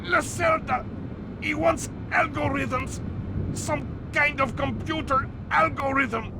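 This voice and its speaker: A man's voice: slow, gravelly, heavily acted. A man voicing a terrified henchman being interrogated in a video game, blurting and pleading in panic. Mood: panicked. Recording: loud rumbling engine and storm noise.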